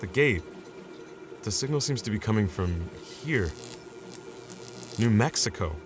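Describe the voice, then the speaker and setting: An actor playing a laid-back lab assistant in an audio drama, talking in a casual, slangy, sardonic way. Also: male voice, fairly low pitch, lively intonation, a little slow, background noise. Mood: tense. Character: laid-back, wry male lab assistant, loyal but exasperated.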